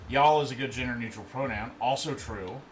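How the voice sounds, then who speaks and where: A man in his thirties hosting a livestream in a relaxed, rambling way, chatting and reading out viewer comments. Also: mid-range voice, fairly quick, low-quality recording. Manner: relaxed, rambling, answers chat as he goes. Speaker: livestream host. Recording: steady background noise.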